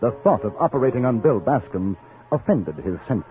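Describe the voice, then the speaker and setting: An actor playing a composed, commanding British gentleman in a 1950s radio western, speaking in a formal, dry, courteous way. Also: mid-range voice, lively intonation, fairly quick, natural voice. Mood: measured, reflective. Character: composed, commanding English gentleman adventurer in the Old West. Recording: muffled 1950s radio recording, music behind.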